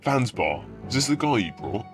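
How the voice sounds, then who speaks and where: A man's voice: mid-range voice, sing-song, normal speed, over music. A man playing a smug, self-assured half-cactus alien market boss in an audio drama. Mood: proud.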